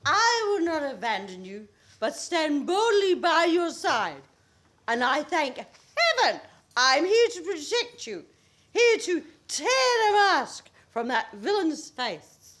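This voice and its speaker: A woman's voice: high voice, big pitch swings, slow, a bit bright, full character voice. A woman playing an indignant, overbearing older mother-in-law in a comic radio play, declaiming and wailing theatrically. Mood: grandly protective.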